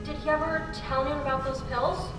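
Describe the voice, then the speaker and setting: A curious, innocent angel voiced by a young woman in a radio play. The voice is high and distant, echoing heavily in a hall with music behind. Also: steady tone, brisk, lightly acted. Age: young adult. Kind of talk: old-fashioned radio play acting.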